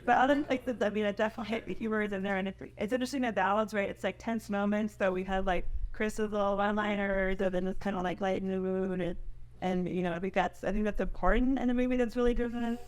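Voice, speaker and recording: A woman's voice: medium pitch, steady tone, fast talker. A woman chatting informally and exclaiming at a live event. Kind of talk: casual chat at a live event. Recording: clear background noise with clicks.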